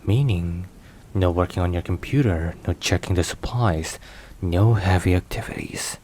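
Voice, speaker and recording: A man playing a weary, caring mafia boss boyfriend in an ASMR roleplay, hushed and sighing between phrases. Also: low-pitched, normal speed, a little hiss or hum, close to an everyday voice. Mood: gentle concern.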